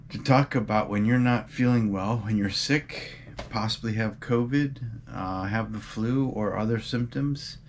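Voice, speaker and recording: A man hosting his running vlog, a bit congested from a cold with a mild cough, giving earnest advice with frequent um and uh pauses. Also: fairly low pitch, a little hiss or hum. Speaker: running channel host. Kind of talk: casual advice vlog talk.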